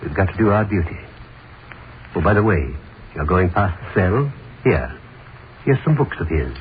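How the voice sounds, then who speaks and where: A friendly prison warden who chats in a plain, matter-of-fact way. His voice is low but moves a lot. The sound is thin and faintly hissy, like a 1930s radio broadcast. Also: big pitch swings, normal speed, narrow, tinny audio. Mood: chatty. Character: friendly middle-aged male prison warden.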